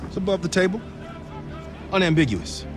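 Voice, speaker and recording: An actor playing a weary, sardonic former intelligence operative turned soldier, dry and clipped, in a military video game. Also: male voice, high voice, animated, moderate pace, music in the background. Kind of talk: cinematic military game acting.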